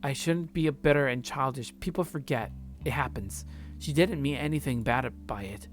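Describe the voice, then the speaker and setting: A man hosting a let's play stream, reading visual novel narration aloud and dropping in casual reactions. His voice is mid-pitched, with soft guitar music playing behind him. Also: normal speed.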